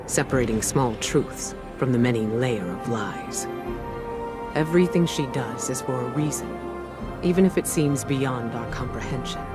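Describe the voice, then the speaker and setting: An actor playing a grave, weary male military commander in a game cutscene, speaking in a measured, solemn and deliberate way. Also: medium pitch, lightly acted. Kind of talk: scripted game cutscene narration.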